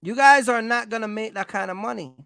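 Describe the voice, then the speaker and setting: An American livestream coach with a bright, forceful voice that often breaks into creak. The delivery is emphatic and repetitive, like informal advice to followers, and the sound is dry and close. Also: mid-range voice, brisk, dry recording. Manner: emphatic, repetitive, checks in with listeners. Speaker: trucking business coach and livestream host.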